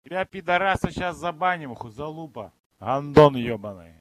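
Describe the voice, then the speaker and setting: A man's voice: on the high side, expressive, moderate pace, crowd noise. A man, a game player, throwing curt abusive insults in a voice chat argument.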